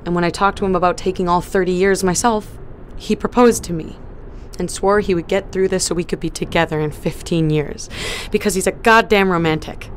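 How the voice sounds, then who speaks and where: A woman's voice: fairly low pitch, fairly even intonation, brisk, slight background noise, close to an everyday voice. An actress playing a stubborn young woman on a space crew, blunt and defiant, who swears when pushed. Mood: determined. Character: young woman crew member, stubborn, worried about fiancé. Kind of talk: audio drama acting.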